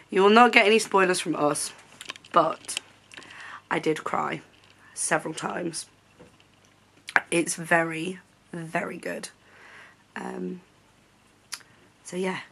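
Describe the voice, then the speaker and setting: A woman's voice: on the low side, gravelly, a bit bright. Chatty young woman vlogging at home. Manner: chatty, lots of "um" fillers. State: has a cold, hoarse. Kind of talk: casual vlog chat. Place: bedroom at home.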